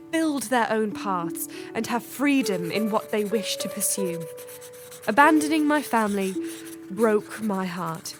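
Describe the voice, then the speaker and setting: Woman acting genteel period heroine, slow and measured, slightly high voice, earnest, formal, radio drama. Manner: formal, carefully enunciated, heartfelt. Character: earnest, genteel young Englishwoman of 1910s, romantic, independent-minded.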